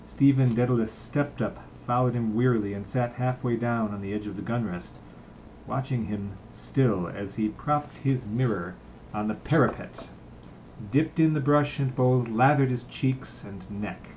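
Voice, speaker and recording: A man's voice: medium pitch, unhurried, a little mellow, slight background noise, rough recording. A man narrating literary fiction, reading a novel aloud as an audiobook reader.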